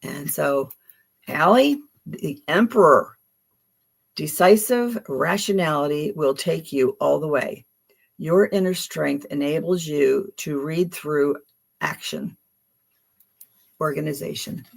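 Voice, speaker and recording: A woman's voice: low-pitched, slow, creaky, long pauses. A woman with a Philadelphia accent giving chatty, affectionate tarot card readings on a livestream, with frequent ums and restarts.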